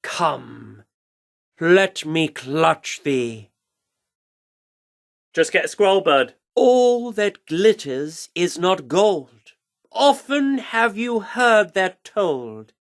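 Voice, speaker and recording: An actor playing a pompous, flamboyant theatre troupe director in a video game, with a haughty, grandiloquent, theatrical delivery. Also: male voice, high voice, very animated, extremely slow, creaky, exaggerated voice. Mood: declaiming. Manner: theatrical, grandiloquent, haughty, archaic turns of phrase. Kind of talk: dramatic video game character acting.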